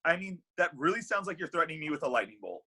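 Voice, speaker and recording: A man plays a heartbroken love god in a comedy script read, bitter and defensive. His voice is high and swoops widely, with an exasperated, indignant edge and a rough grain. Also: very animated, fast, bright, forceful tone, heavily acted. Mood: bitter, outraged.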